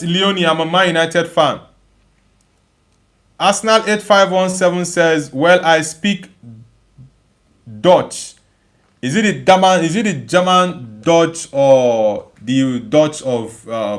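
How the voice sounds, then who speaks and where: Higher-pitched man hosting a casual livestream. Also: fairly bright tone. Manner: emphatic, repeats phrases as he thinks aloud. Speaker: livestream host. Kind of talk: casual live chat, reading viewer comments aloud and replying.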